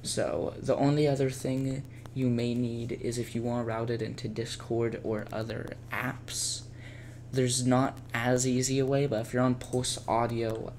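A man presenting a casual step-by-step software tutorial as a screencast voice-over. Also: mid-range voice. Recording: faint background noise and keyboard typing.

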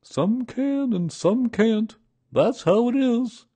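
Performer doing a slow, wistful toy bear. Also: medium pitch, lively intonation, dark tone, breathy edge, pitched above the performer's own voice. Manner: slow, musing, artless. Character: simple, wistful male toy bear, slow-witted and kindly.

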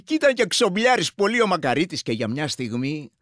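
A man's voice: on the high side, sing-song, fairly bright tone, no echo, clean studio sound. An actor playing an earthy village war veteran in a radio play, telling his story conversationally with a rural Greek accent and acting out other men's speech. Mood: wry reminiscing. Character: earthy village war veteran recounting brutal combat matter-of-factly.

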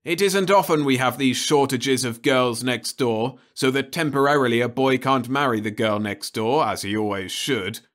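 A man's voice: on the high side, lively intonation, unhurried, fairly bright tone, pitched above the performer's own voice. A man voicing a blustering older male official who thunders indignant questions.

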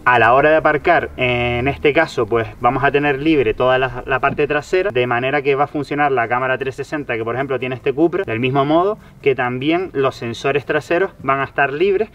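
A man's voice: mid-range voice, fairly quick, hardly any pauses, fairly bright tone, dull audio. Guy vlogging outside by his car, chatty, mic kinda far off. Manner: chatty, explains step by step with fillers. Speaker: cycling vlogger. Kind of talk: casual explanatory vlog. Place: outdoors by a car on a country trail.

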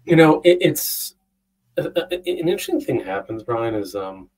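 A middle-aged man explaining things conversationally as an interview guest, with a slightly husky voice, over a video call. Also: medium pitch, very animated, normal speed, a little mellow, far from the mic, low-quality recording. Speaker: interview guest, company founder. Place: indoor room on a video call. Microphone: wireless earbuds.

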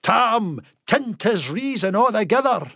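A man with a Scottish accent giving a theatrical, expressive dramatic recitation of a narrative poem. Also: high voice, unhurried, gravelly, dry recording, clean studio sound. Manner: theatrical, expressive storytelling delivery. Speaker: poetry reader.

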